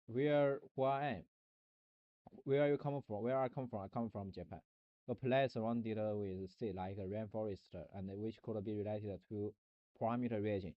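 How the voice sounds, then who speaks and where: Rambling low-voiced man, halting and dull-sounding. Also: steady tone, dry recording. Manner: halting, searching for words, many restarts and repetitions. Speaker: streamer. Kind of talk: rambling philosophical monologue on a stream.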